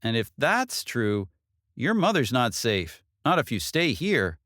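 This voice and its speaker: Man voicing an earnest female detective, pitched up. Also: very animated, fairly bright tone, dry recording, clean studio sound. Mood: urgent concern. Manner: measured, earnest, official questioning. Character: brisk, concerned female police detective.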